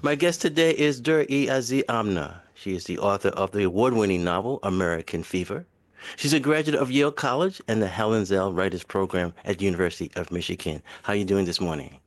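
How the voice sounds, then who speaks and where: A man hosting a radio interview show, asking questions in a relaxed, conversational way. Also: lowish voice, brisk.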